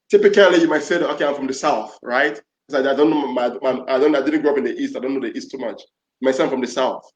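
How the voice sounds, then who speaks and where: A man from Nigeria leading a panel discussion, speaking earnestly and often repeating and restarting his phrases. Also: medium pitch. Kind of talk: reflective panel talk. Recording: roomy, dull lo-fi audio.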